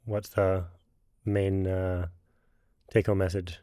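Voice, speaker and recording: Man, podcast host, casual, halting speech, slightly low voice, dry sound. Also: level tone, warm tone, clean tone, no echo. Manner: halting, many fillers and restarts. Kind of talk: casual interview hosting.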